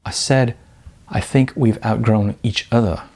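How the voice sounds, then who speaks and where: A man voicing a casual, evasive young boyfriend who speaks haltingly, in an audiobook. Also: fairly low pitch, steady tone, a little mellow, husky. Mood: awkward. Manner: hesitant, halting, noncommittal. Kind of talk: audiobook character dialogue.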